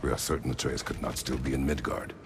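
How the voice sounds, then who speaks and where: An actor playing a stern, battle-worn warrior father in a video game, speaking tersely and gravely in a gravelly voice. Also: extremely low pitch, fairly even intonation, quick pace, very noisy. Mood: stern, commanding.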